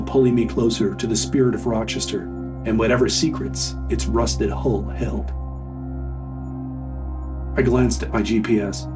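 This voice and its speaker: Distant-sounding man narrating over music. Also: fairly low pitch. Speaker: horror story narrator. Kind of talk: scripted first-person story narration.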